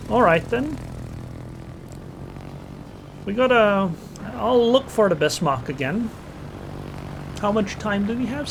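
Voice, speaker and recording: Gamer man, slightly high, thinks aloud over loud game sounds. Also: background noise. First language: Estonian. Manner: thinks aloud, muttering plans and small reactions. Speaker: gameplay commentator. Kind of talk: casual live gameplay commentary. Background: game engine and gunfire sounds.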